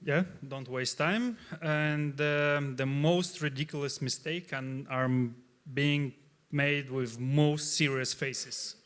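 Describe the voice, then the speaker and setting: Halting man with a Russian accent. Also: fairly high pitch, steady tone, fairly bright tone, clear, resonant tone. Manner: halting, searching for words, many fillers. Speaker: startup founder on a panel. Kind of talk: live panel discussion.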